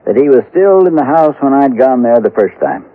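An actor playing a rueful western lawman who recounts a manhunt in a measured, deliberate way, as radio drama. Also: medium pitch, lively intonation, phone-quality sound, natural voice. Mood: grave, reflective. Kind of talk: old-time radio drama narration.

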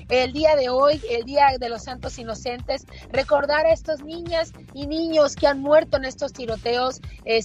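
A woman with a Mexican accent hosting a talk show in a husky voice, speaking in an earnest, reflective, conversational way. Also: high voice. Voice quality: husky, hoarse-edged voice. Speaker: show host. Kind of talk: conversational radio-style talk.

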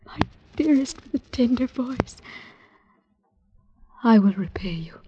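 An actress playing a gentle, devoted young woman who speaks softly and often whispers, in an old radio drama. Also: on the high side, steady tone, unhurried, slight background noise, natural voice. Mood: tender whisper. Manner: soft, tender, often whispering. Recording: dry lo-fi old radio recording.

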